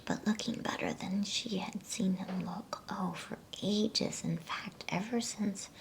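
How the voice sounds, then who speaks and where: A woman reading a bedtime story in a breathy, soothing ASMR whisper. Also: medium pitch, steady tone. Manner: hushed, unhurried, soothing delivery. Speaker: storybook reader.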